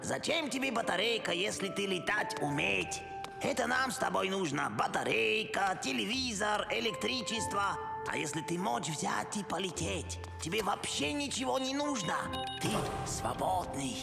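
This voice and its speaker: Cartoon penguin inventor: kindly eccentric, foreign accent, broken grammar, gravelly, very animated pitch, echoey hall. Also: male voice, normal speed, full character voice. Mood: excited explaining. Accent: foreign accent in Russian. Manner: broken grammar, emphatic explaining. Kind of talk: animated film character acting. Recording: echoey hall with music behind.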